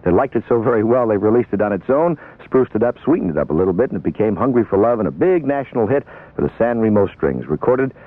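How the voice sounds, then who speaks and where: A man with a raspy voice working as a radio DJ, chatting and rambling through oldies memories with fillers. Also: on the low side, lively intonation, fast, no room sound. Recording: tinny narrow-band radio aircheck.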